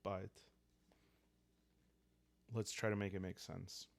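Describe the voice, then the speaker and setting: Slow, low-pitched man with a creaky voice. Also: dry recording. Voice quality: soft, calm. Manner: hesitant, thinking aloud with long pauses and sighs. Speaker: host and player of a solo roleplaying game. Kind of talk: calm unscripted storytelling for sleep, journaling game playthrough.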